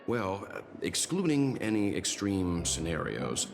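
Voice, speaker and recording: A male actor playing a composed, analytical investigator in a sci-fi game, speaking in a deliberate, formal, articulate way. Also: deep voice, sing-song, full character voice. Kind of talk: dramatic game dialogue, measured exposition. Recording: loud music behind. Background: game music.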